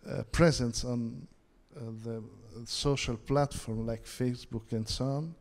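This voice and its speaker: Slow halting man, echoey hall. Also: mid-range voice. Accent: Italian. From Italy. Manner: hesitant, many fillers and restarts. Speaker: conference panel speaker. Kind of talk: halting conference presentation. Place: theatre hall. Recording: long hall reverb.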